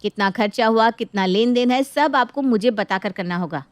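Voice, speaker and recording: Woman playing greedy, domineering younger sister for a cartoon moral tale; high voice, mock-polite taunts, dry close recording. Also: normal speed, dry recording, lightly acted. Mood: smug, condescending. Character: greedy, bossy younger married sister, taunting her family. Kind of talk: dramatized Hindi moral story acting.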